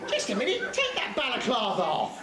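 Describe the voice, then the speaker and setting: A man's voice: extremely high pitch, huge pitch swings, fairly quick, crowd noise, full character voice. A man playing a fussing, overwrought middle-aged wife, the dame in a stage pantomime. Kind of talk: amateur stage pantomime acting. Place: village hall stage. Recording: echoey hall with audience noise.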